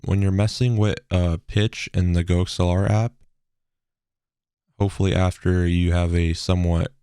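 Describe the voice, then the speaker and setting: A man explaining software as a tutorial host in a measured, instructional way. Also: low voice, clear, resonant tone, no echo, clean studio sound.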